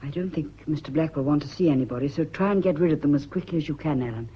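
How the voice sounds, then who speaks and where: A woman's voice: low voice, fast, warm tone, slight background noise, lightly acted. An actress playing a genteel, uneasy lady's companion with a British accent, clipped and commanding, in a period radio mystery. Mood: evasive, uneasy. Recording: dull, muffled old radio recording.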